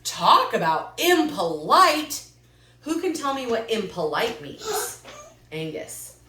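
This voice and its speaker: A woman's voice: fairly high pitch, wildly swooping pitch, slow, background noise. Encouraging woman teacher, echoey room. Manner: encouraging, questioning, repeats children's answers. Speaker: teacher reading aloud to children. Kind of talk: interactive children's lesson. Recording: echoey room, distant mic.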